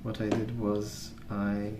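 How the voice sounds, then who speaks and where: A man rambling softly in an ASMR style, hushed, hesitant and unhurried. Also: on the low side, completely flat delivery. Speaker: ASMR video host. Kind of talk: soft-spoken ASMR rambling. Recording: roomy, distant mic with clear noise.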